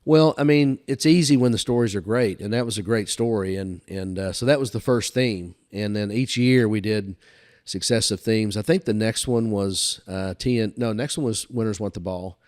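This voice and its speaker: An older man with a fairly low voice tells stories as an interview guest. His delivery is casual and folksy, and he likes to recount who said what. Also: moderate pace, darkish tone. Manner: folksy, recounts dialogue back and forth. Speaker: podcast guest telling career stories. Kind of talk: casual anecdotal storytelling.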